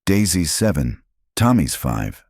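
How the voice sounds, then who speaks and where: A guarded outlaw woman speaks in short, growled frontier lines, as a male narrator puts her on. The voice stays deep under the raised pitch, and the recording is close and dry. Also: very animated, slow, darkish tone, slightly rough, no room sound, heavily acted. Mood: weary. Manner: curt, growling frontier speech. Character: desperate hungry woman outlaw, guarded and tough.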